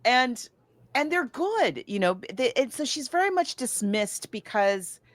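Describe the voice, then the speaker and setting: A woman's voice: a little high, a little slow, dry recording. A woman co-hosting a podcast, chatting casually in a rambling storyteller's way and acting out quotes as she goes. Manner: rambling, animated storyteller who acts out quotes. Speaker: podcast co-host. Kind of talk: casual chat about books and films.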